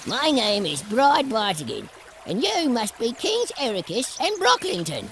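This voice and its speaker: A man's voice: extremely high pitch, wildly swooping pitch, a little slow, gravelly, fairly bright tone, a little hiss or hum, voice pushed up, exaggerated voice. A man playing a jolly, courtly little gnome from a fantasy storybook.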